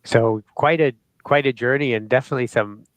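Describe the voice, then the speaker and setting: A man's voice: mid-range voice, dry recording. A middle-aged man hosts an interview over a video call. His voice sits in the middle of a man's range and sounds dry and close. He talks in a friendly way, stopping and restarting with plenty of fillers. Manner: friendly, halting, many fillers. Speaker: host. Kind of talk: interview hosting.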